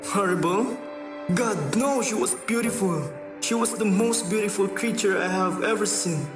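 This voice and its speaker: A man's voice: high voice, moderate pace, lightly acted. Man acting theatrical old grandfather, distant and echoey. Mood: wistful, enchanted. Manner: dramatic, mock-stern. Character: playful old grandfather, teasing, theatrical, wistful former vain fellow. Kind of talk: radio drama acting. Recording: echoey, distant mic, music underneath.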